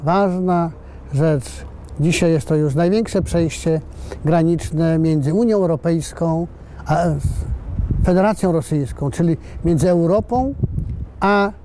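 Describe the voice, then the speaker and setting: A man in his sixties, an election candidate, giving a measured campaign statement outdoors, pausing and hesitating mid-phrase. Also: on the high side, warm tone, slight background noise, poor audio. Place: outdoors in a castle courtyard.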